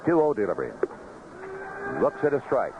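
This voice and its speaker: A man's voice: mid-range voice, wildly swooping pitch, normal speed. An American man calling live baseball play-by-play on the radio. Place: ballpark broadcast booth. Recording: noisy, muffled vintage AM radio broadcast.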